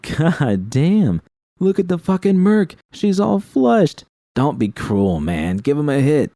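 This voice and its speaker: A man's voice: fairly high pitch, huge pitch swings, slow, vocal fry, dark tone, no room sound, clean studio sound, voice pushed up, exaggerated voice. A man voicing a crude, taunting male gang thug in an audiobook. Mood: jeering.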